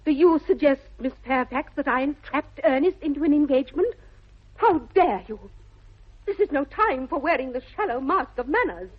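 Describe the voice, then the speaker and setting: An actress playing a spirited, prim young upper-class English lady in a 1960s radio comedy, crisply and pointedly polite. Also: high-pitched, expressive, normal speed. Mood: indignant. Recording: thin, phone-like old radio recording.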